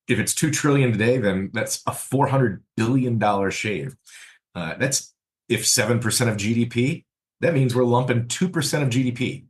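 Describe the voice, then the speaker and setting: Low-voiced man, analytical host. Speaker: podcast host. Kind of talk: analytical podcast talk.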